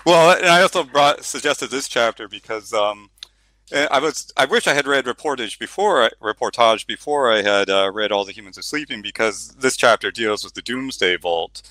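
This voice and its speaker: A man's voice: mid-range voice, animated, normal speed, pushed and bright, no echo, poor audio. A middle-aged man, a novelist, talking casually about his book in an interview with many fillers and restarts, then reading a passage aloud. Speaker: novelist guest.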